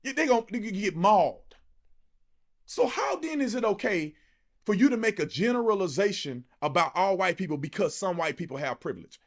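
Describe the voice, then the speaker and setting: A man's voice: high-pitched, expressive, a little fast. A man with an American accent delivering an impassioned, colloquial opinion monologue, emphatic and full of rhetorical challenges. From United States. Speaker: online political commentator.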